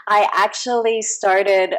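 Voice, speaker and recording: A woman's voice: mid-range voice, steady tone, slow. A woman in her thirties from Canada, a guest chatting casually over a meal at a restaurant table. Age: 30s.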